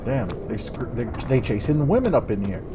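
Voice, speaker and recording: A man's voice: mid-range voice, sing-song, a little fast, over music. A man giving casual let's-play commentary, joking and rambling in a self-deprecating way. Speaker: gaming streamer.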